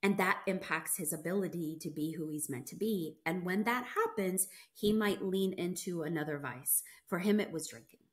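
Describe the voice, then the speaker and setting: A woman's voice: fairly low pitch, normal speed, hardly any pauses. A middle-aged woman whose first language is not English, talking animatedly as an interview guest, emphatic and reflective. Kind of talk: conversational interview.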